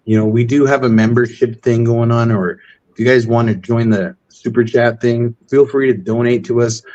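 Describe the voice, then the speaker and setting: A man hosting a live stream in casual chat, relaxed and welcoming. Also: medium pitch. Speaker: host. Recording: muffled lo-fi audio.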